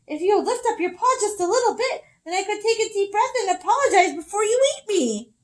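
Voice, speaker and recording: A woman voicing a cheerful, boastful little male squirrel in a storybook, chirping in a high squeaky voice. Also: very animated, fairly quick, sharp tone, heavily acted. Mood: pleading.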